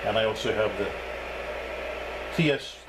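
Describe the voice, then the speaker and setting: A man's voice: on the low side, a little slow. A man giving a casual, off-the-cuff hands-on equipment review, thinking aloud in a hesitant way. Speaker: reviewer demonstrating radio equipment. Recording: clearly noisy background.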